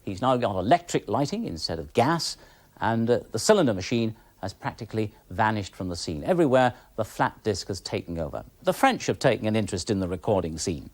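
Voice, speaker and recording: A man's voice: lowish voice, very animated, brisk. A man speaking as an expert presenter, giving enthusiastic, emphatic conversational commentary. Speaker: presenter, recorded music expert. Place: television studio.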